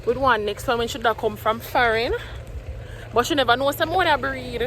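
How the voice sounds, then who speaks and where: A woman's voice: on the high side, normal speed, background noise. Chatty woman talking in Jamaican patois. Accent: Jamaican patois, put on by a Trinidadian. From Trinidad. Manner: chatty, mixes patois and standard English. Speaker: vlogger, single mother. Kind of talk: casual vlog chat to camera. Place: home, then in a car and out shopping.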